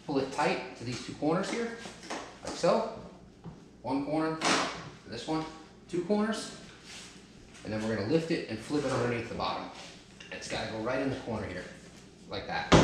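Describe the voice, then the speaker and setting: Guy in his 30s explaining a build step by step, really echoey living room, some background noise. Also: medium pitch. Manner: practical step-by-step, talks while kneeling and lifting. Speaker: DIY home channel host. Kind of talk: casual how-to instruction while working. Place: large carpeted family room at home. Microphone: camera mic from a distance.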